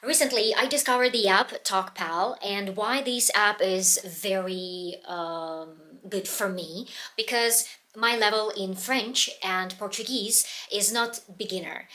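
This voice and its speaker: Russian-accented lady explaining pronunciation tips, bright voice, stops to search for words. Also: medium pitch. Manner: earnest, pauses to search for words. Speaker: language learning vlogger. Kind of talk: casual how-to talk.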